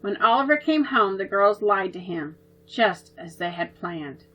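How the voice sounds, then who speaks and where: Female storyteller for children: gentle and expressive, bright tone. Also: mid-range voice, unhurried, faint music behind, low-quality recording.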